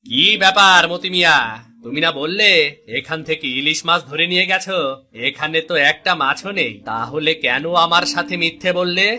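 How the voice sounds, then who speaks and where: A man's voice: on the high side, moderate pace, bright, forceful tone. A man voicing a greedy, envious, suspicious fisherman in a cartoon. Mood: accusing, suspicious. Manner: suspicious, questioning. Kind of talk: Bengali cartoon voice acting.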